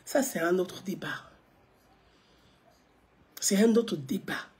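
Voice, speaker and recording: A woman's voice: fairly low pitch, animated, slow, a little mellow, breathy edge. A woman, giving live political commentary to viewers, emphatic, repeating her points and asking rhetorical questions.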